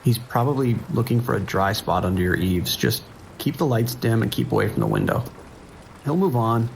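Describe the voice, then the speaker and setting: A man playing a calm, wry sheriff's dispatcher with a dry, reassuring manner in an audio drama. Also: mid-range voice, normal speed, creaky, a little hiss or hum, poor audio. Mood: patient, reassuring. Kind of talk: naturalistic audio drama acting.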